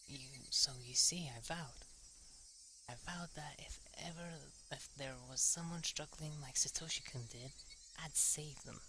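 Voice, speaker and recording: Male reader voicing a creepy-sweet teen girl, hushed and breathy, bright edge. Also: a little high, a little slow, slight background noise. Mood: eerie sweet. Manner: soft breathy whisper. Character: sweetly menacing teenage girl stalker.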